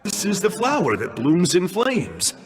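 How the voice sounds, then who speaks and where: A man's voice: high-pitched, huge pitch swings, measured pace, heavily acted. An actor playing a sly, poetic young male villain in a video game, speaking in a grandiose, mock-courteous way. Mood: grandiose, taunting. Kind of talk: scripted video game dialogue. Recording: game music behind. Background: game music.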